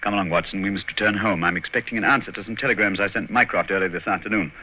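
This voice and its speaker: Brisk deep-voiced English detective played by an actor. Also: male voice, close to an everyday voice. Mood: grave, courteous. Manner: authoritative, urging, clipped instructions. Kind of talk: vintage radio drama acting. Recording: muffled, noisy vintage radio recording.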